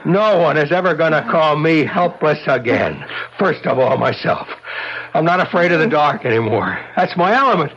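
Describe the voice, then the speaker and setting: An actor playing a proud, defiant husband, intense and emphatic, in an old-time radio drama. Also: male voice, a little high, animated, moderate pace, fairly bright tone. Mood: triumphant, proud. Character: blind husband, proud, defiant, determined to fight back. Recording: dull, noisy old radio recording.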